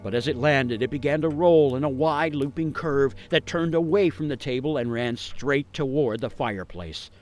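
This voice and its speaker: A man's voice: medium pitch, moderate pace, few pauses, darkish tone. A man narrating a scripted radio story in a theatrical, suspenseful, dramatic way.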